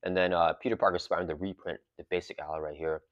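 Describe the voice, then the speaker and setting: A man with a very low voice talks loosely through an unboxing, full of "uh" and "um". His throat is sore and he has a cough drop in his mouth. The recording is dry and slightly dull. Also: quick pace, no echo. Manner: relaxed, rambling, many fillers. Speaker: channel host. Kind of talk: casual unboxing and card game talk.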